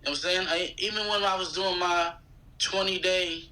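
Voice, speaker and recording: Man, thirties, American accent, slightly high pitch, very bright forceful tone, slangy, casual interview guest. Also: fairly even intonation, moderate pace, poor audio. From United States. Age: 30s. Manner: slangy, conversational. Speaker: guest, rapper.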